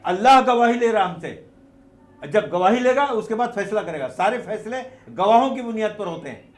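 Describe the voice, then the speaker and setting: A man's voice: sits high, lively intonation, moderate pace. A man with a Mumbai Hindi accent making an emphatic explanatory religious argument, posing rhetorical questions and repeating his points. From India. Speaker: activist and petitioner giving a speech. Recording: echoey, roomy sound.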